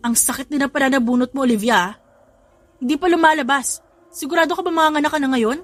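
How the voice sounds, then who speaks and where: A woman's voice: high voice, fast talker, dry recording, voice pushed up, lightly acted. Woman voicing a devoted, teasing vampire husband. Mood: teasing, urging. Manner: tender, playful, earnest. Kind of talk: romantic audio drama acting. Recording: music behind the voice.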